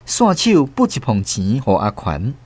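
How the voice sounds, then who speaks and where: A man's voice: medium pitch, huge pitch swings, measured pace, darkish tone, no room sound. A man with a Taiwanese accent narrating a radio drama in a dramatic, scripted storytelling style.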